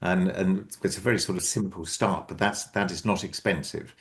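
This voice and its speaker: A man with a very low, deep voice hosts an online book launch over a video call. He talks in a halting, thinking-aloud way, full of ums and restarted phrases. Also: steady tone, gravelly. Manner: hesitant, many ums and restarts. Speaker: book co-author and event host.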